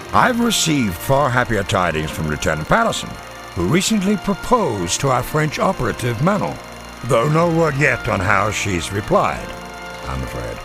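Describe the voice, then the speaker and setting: An actor playing a middle-aged intelligence colonel from the Second World War, delivering a formal, measured mission briefing in a game cutscene. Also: male voice, mid-range voice. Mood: reflective, warm. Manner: measured, formal, crisp military delivery with weighty pauses. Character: formal, authoritative WWII American intelligence colonel briefing officers. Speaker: military briefing officer. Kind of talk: scripted dramatic military briefing.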